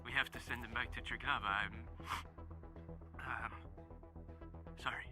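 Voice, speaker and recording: An actor, a man, playing a shaken, grieving game character who speaks haltingly and softly while trying to stay dutiful. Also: mid-range voice, steady tone, lightly acted. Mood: shaken, pleading. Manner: halting, hushed, faltering. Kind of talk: dramatic video game acting. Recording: game music behind the voice.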